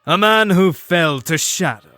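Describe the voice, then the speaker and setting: A man's voice: high-pitched, unhurried, sharp tone, no room sound, soft background music. An actor playing a jovial, battle-scarred swordsmith and father, hearty and wry, in a fantasy audio drama.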